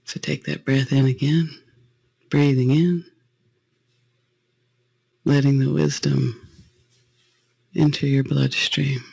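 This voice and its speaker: Male guided-meditation leader, gravelly and close in a dry space, gentle spiritual delivery. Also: medium pitch, little pitch movement, slow, long pauses, dry recording.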